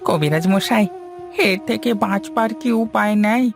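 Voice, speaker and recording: A man's voice: high voice, measured pace, gravelly. An actor playing a humble old male servant in a cartoon, talking in a pleading, deferential way. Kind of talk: Bengali cartoon voice acting. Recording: music underneath.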